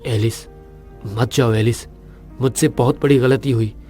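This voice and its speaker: A man's voice: mid-range voice, fairly even intonation, unhurried, dark tone, close to an everyday voice. A man playing an earnest, brooding young suitor in a dramatic audio romance. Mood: pleading, remorseful. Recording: music under the voice.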